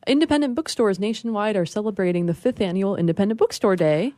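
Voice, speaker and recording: A woman on a radio panel giving information conversationally, in a measured and somewhat hesitant way. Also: medium pitch, dark tone, no room sound, clean studio sound. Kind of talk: informative casual chat.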